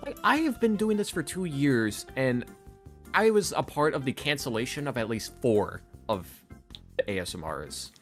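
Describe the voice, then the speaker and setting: A man's voice: mid-range voice, very animated, normal speed, soft background music. A man talking casually as a podcast guest, emphatic and rambling, with slangy phrasing and acted-out quotes. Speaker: podcast guest, ASMR roleplay creator. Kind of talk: casual, opinionated interview talk.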